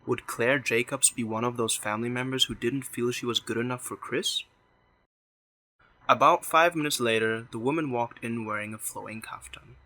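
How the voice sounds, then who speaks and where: Bright, crisp-edged male story narrator. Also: medium pitch, normal speed, slight background noise, rough recording.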